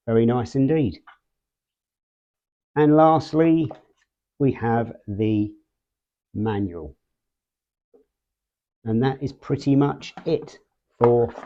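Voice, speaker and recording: A man presents a product walkthrough at an unhurried pace, in a middling pitch. He breaks his sentences into short, measured phrases with frequent pauses. The sound is narrow and muffled, like a phone line. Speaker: video host, product reviewer. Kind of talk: casual unboxing and product walkthrough.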